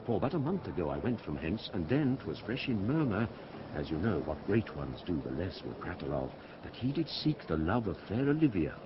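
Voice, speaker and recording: Low-voiced, animated sea captain. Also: male voice, moderate pace, noisy background. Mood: frank, helpful. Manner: reassuring, storytelling. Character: steady, loyal sea captain, kindly and frank. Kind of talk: radio Shakespeare drama acting. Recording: muffled, loud outdoor ambience behind.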